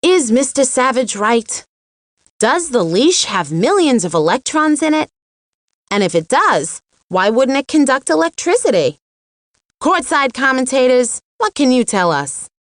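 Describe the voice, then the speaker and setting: Excitable high-pitched cartoon reporter. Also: female voice, measured pace, no room sound, studio quality. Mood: dramatic. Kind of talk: mock commentary, posing dramatic questions.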